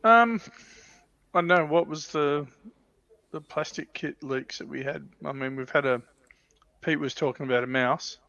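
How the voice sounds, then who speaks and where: A man's voice: a little high, moderate pace, gravelly, long pauses, pushed and bright, no room sound. A man chatting casually as a panellist on a hobby livestream, recorded with dull, lo-fi sound.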